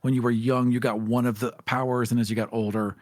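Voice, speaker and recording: A man's voice: mid-range voice, little pitch movement, fast, no room sound, studio quality. A man hosting a casual podcast chat about tabletop games, talking in an earnest, emphatic way.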